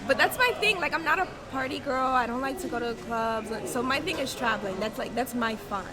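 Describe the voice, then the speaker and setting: A woman in her early twenties chats as a guest, bubbly and quick with casual fillers. Her voice sits a touch high, and loud restaurant crowd noise fills the background. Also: expressive. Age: 20s. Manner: bubbly, quick, lots of likes. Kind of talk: casual interview chat. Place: busy restaurant.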